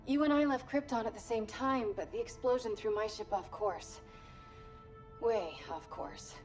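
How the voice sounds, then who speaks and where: An actress playing a warm, resolute young alien superheroine in a video game cutscene. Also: female voice, a little high, unhurried, soft background music, natural voice. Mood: tender, explaining.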